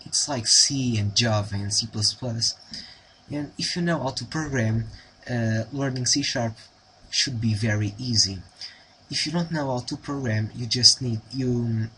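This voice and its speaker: A man's voice: medium pitch, a little slow, sharp tone, slight background noise, rough recording. A young man from Portugal with a Portuguese accent, giving a casual tutorial with a hesitant delivery full of ums and restarts. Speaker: tutorial host.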